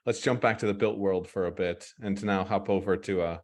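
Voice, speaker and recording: A male moderator with a low voice keeps a pitch show moving, wrapping up and introducing segments briskly. He is friendly as he hands over. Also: steady tone.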